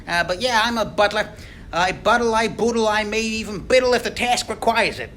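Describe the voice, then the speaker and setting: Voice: medium pitch, a little fast, a bit bright, a little hiss or hum, natural voice. A performer playing a bumbling, wisecracking butler in a comic radio play, rambling in goofy slangy patter. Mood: jokey, breezy. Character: wisecracking, bumbling butler, loud and eager to joke.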